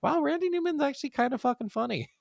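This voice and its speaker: A man's voice: extremely high pitch, lively intonation, rapid-fire, gravelly, no room sound, clean studio sound. A man co-hosting a podcast in casual banter about video games, rambling, joking and swearing freely. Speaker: podcast co-host.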